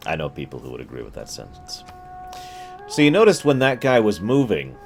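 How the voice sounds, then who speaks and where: Male streamer, low voice, lively swings in pitch, chatty running commentary, faint music underneath. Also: a little fast, gravelly. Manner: chatty, talks to chat, swings into mock outbursts. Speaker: game streamer. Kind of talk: casual live gameplay commentary.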